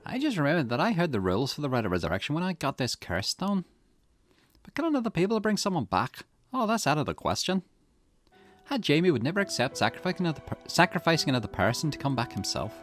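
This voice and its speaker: A man's voice: fairly high pitch, very animated, fast, a bit bright, faint music behind. A man voicing a brash, loyal young woman from a video game, with a blunt, teasing manner. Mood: defiant, resolute.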